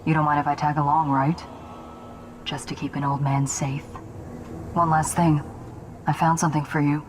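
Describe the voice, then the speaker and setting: An actress playing a cocky young assassin lieutenant who talks in a brisk, matter-of-fact way. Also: female voice, deep voice, level tone, background noise, natural voice.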